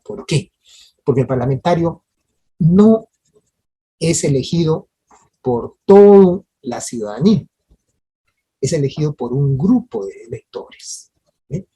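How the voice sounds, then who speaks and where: Husky breathy lecturer, warm dark tone, keeps tacking on tag questions. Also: mid-range voice, lively intonation, slow, long pauses, poor audio. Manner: didactic, with frequent tag questions and direct appeals to listeners; hesitant restarts and repeated words. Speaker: lecturer at a parliamentary training workshop. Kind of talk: spoken lecture to an audience.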